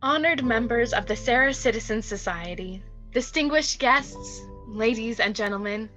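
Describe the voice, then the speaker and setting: Woman playing a bright, high-voiced, ambitious young stage star. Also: lively intonation, muffled recording, faint music behind. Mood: gracious, grand. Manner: measured, formal, dramatic speech-making. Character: celebrated young stage actress, poised, ambitious, falsely humble. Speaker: actress in a radio play. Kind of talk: theatrical radio drama acting.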